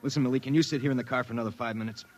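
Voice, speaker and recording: An actor playing a wry, tough-talking private detective in a 1950s radio drama. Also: male voice, medium pitch, fairly even intonation, rapid-fire, lightly acted. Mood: wry, intrigued. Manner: wry, slangy, tough-guy. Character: wisecracking, hard-boiled young male private detective. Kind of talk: 1950s radio drama acting and first-person narration.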